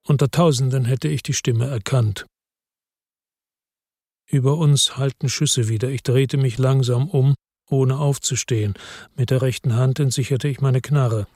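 An actor playing a weary hard-boiled man who narrates his own crime story in a radio drama, terse, brooding and husky. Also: mid-range voice, fairly even intonation, a little slow, mellow, no echo, clean studio sound. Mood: grim, tense.

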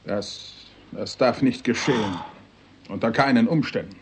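An actor playing a proper, flustered prime minister in a 1960s radio drama, speaking formally and admonishingly. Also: male voice, mid-range voice, measured pace, a little hiss or hum, lightly acted. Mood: grave dismay. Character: high-voiced prime minister, proper, flustered cabinet chairman.

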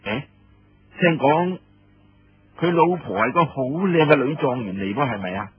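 Guy doing imperious army commander in an audiobook, sly commanding questions, very rough husky voice, dull roomy recording. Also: mid-range voice, animated. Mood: probing. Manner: commanding, probing, drawn-out questioning. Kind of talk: Cantonese audiobook storytelling. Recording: muffled lo-fi roomy radio-like recording.

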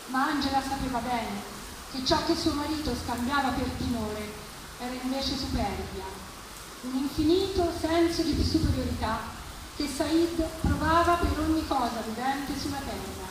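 A woman giving an expressive, theatrical reading of literary prose at a live event. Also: sits high. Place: live public reading at a festival, distant mic. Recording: echoey, distant, noisy live event recording.

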